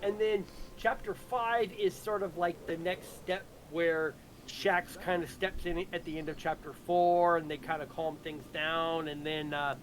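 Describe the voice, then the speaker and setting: A man's voice: a little high, little pitch movement, a little slow, few pauses, a little hiss or hum. A man casually recapping a story he wrote on a livestream, rambling and repeating words as he explains. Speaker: writer of an audio drama.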